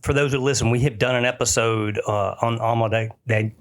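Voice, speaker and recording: A man's voice: medium pitch, steady tone, a little fast. A man hosting a casual podcast chat, upbeat and stumbling over his words now and then. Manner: upbeat, with frequent restarts. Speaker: podcast host.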